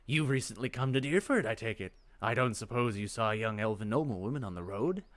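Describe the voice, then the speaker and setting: A man's voice: on the low side, expressive, fairly quick, fairly bright tone. A man voicing a weary, grumbling town guard in a fantasy video game. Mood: weary questioning.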